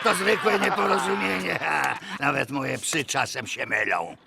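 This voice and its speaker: A man voicing an eccentric, smug elderly adventurer with a gravelly, cracked voice in an animated film dub. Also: on the high side, moderate pace, very noisy, full character voice. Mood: jovial.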